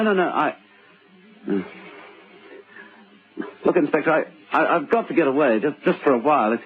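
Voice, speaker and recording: A man's voice: mid-range voice, lightly acted. An actor playing a scheming, nervous middle-aged husband in an old radio drama, muttering and stammering as he goes. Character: scheming forty-year-old husband hiding a crime, nervous, self-satisfied. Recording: tinny old radio recording with music.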